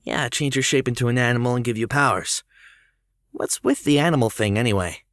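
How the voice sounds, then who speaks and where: A woman's voice: rumbling low, brisk, no room sound, studio quality. A woman acting a flustered, sarcastic teenage schoolboy in a fan audio drama. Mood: hesitant.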